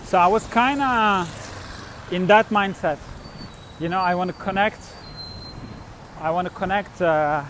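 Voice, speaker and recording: High-pitched man telling stories haltingly. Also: a bit bright, slight background noise. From born in Turkey, grew up in Switzerland. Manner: halting, rambling delivery with frequent hedging and trailing thoughts. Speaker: vlogger, musician and traveler. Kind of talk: casual unscripted personal storytelling.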